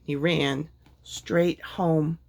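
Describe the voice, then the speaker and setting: A woman's voice: low voice, fairly even intonation, drawn-out words, creaky, a little mellow. A woman reading a children's story aloud as narrator. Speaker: storybook reader.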